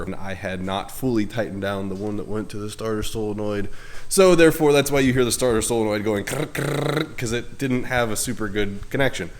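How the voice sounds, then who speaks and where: A young man with a head cold and a congested voice, chatting casually as host of his car channel in his home garage, rambling and poking fun at himself. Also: medium pitch. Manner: chatty, self-deprecating, rambling with fillers. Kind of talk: casual garage vlog talk.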